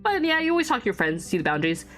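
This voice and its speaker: Chatty young woman streamer, lively voice. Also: a little high, rapid-fire, faint music behind. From Vietnamese family, born outside Vietnam. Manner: chatty and giggly, lots of restarts and fillers. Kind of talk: casual chat while drawing on stream.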